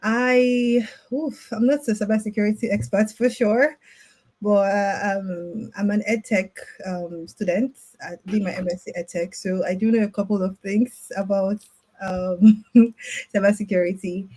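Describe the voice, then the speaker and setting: A woman's voice: medium pitch, steady tone, a little slow, warm tone, rough recording. A woman, an education consultant co-hosting an online event, introducing herself in a hesitant way with many ums. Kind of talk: casual self-introduction. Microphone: computer mic on a video call.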